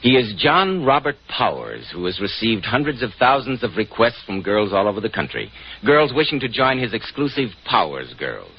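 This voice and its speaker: A man's voice: on the low side, big pitch swings, moderate pace, a little hiss or hum. A man with an American accent announcing formally and with polish in the style of 1940s radio. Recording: dull 1940s radio recording. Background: music.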